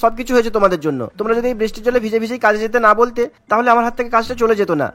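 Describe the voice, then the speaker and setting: A man's voice: very high voice, fairly even intonation, very fast, a bit bright. A man voicing a sulky young married son in a cartoon, whining and complaining. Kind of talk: cartoon voice acting.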